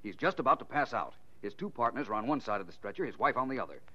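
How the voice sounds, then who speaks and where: An American actor playing a confident, wry, unflappable male detective in 1940s radio style, reasoning coolly and deliberately. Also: medium pitch, very animated, pushed and bright. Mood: absorbed, reasoning. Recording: dull 1940s radio recording.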